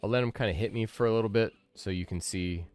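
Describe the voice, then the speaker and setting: A man's voice: on the low side, fast, a little mellow, clean tone, no room sound. A man in his thirties giving casual game commentary as a streamer, with wry, grumbling asides. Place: small indoor room, livestream setup. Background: game music.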